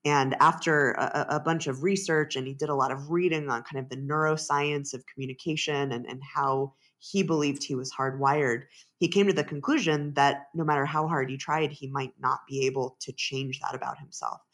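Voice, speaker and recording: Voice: medium pitch, steady tone, normal speed, few pauses, a bit bright. Thoughtful author explaining research. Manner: thoughtful, explanatory, with frequent restarts. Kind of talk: conversational interview answers.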